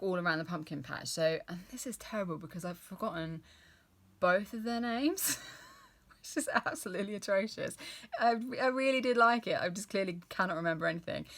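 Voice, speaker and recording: A woman's voice: sits low, fairly bright tone. A woman in her thirties chatting casually about books, rambling with many fillers and restarts and laughing at herself. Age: 30s. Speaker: book vlogger. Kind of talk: casual chatty book talk.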